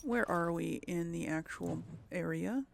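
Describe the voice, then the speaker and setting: A woman's voice: fairly low pitch, a little slow, creaky, a little hiss or hum. A woman hosting a casual let's play, thinking aloud and often trailing off into mutters. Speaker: gaming video host.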